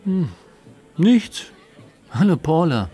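An actor playing a withdrawn writer on the phone, terse and hesitant, in radio drama dialogue. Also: male voice, on the high side, sing-song, slow, mellow. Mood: guarded.

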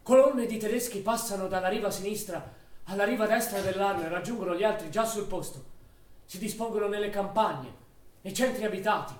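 Male actor as wartime chronicler for radio drama, measured and grave, high pitch, roomy echoing sound. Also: lightly acted. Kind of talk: scripted documentary theatre narration. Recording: echoey room, distant from microphone.

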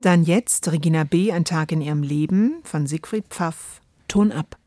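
A woman's voice: deep voice, moderate pace, warm tone, dry recording. A woman co-hosting a conversational discussion, often searching for words mid-sentence. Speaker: podcast co-host. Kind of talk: conversational radio drama discussion. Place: dry studio.

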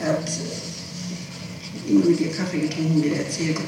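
A woman's voice: sits low, flat delivery, moderate pace. Woman testifying on echoey old tape. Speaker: person being questioned in an interrogation. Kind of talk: interrogation testimony, spontaneous answers. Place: echoey room, recorded from a distance. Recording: noisy, echoey 1960s tape, distant microphone.

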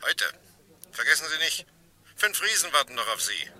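An actor playing a mocking gangster in a crime radio play, sardonic and falsely courteous while making threats. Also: male voice, on the high side, big pitch swings, normal speed, bright, forceful tone, exaggerated voice. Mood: threatening. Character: smooth, mocking male gangster threatening a reluctant accomplice.

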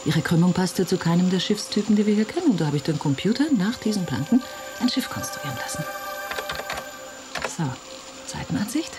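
An actress in a radio play playing a restless, wry museum worker, talking in a dry, assertive, conversational way. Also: female voice, on the low side, animated, fairly quick, pauses often, music in the background, lightly acted. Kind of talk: naturalistic radio drama dialogue.

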